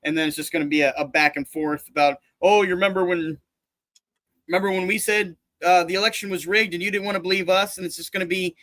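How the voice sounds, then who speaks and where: A man's voice: high voice, fairly even intonation, quick pace, a bit bright, no echo. A man chatting casually about politics, rambling and restarting his sentences, dropping into mock voices for jokes.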